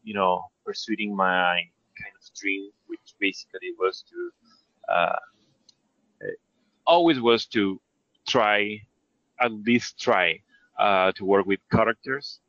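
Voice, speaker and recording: A man's voice: on the low side, animated, slow pace, long pauses, fairly bright tone, dry recording, lo-fi sound. A man from Argentina with an Argentine accent, a native Spanish speaker, talking casually as an interview guest, hesitant, self-effacing and often searching for words. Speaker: interview guest, 3D character artist. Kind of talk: casual conversational storytelling.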